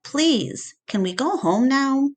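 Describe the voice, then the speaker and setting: A woman's voice: high voice, animated, slow, voice pushed up. Woman doing a worn out dad in a storybook, skeptical and brushing things off. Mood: tired disbelief. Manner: tired, dismissive, sighing. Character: weary, skeptical father working as a cleaner. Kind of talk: storybook read-aloud character voice.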